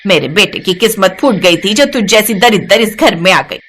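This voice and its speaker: A woman's voice: medium pitch, animated, normal speed, a bit bright, slight background noise, pitched below the performer's own voice, close to an everyday voice. A woman voicing a bitter, taunting old mother-in-law in a dramatised moral story, nagging theatrically. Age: older. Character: bitter, scolding old mother-in-law berating her daughter-in-law.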